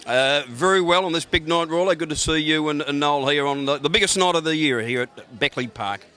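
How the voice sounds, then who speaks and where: A relaxed man who gives racing tips, talking in an easy, halting way with plenty of fillers. His voice is bright and clear. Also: a little high. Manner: relaxed, hesitant, starts with "look". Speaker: racing tipster guest. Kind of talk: casual interview chat. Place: racecourse pavilion lounge.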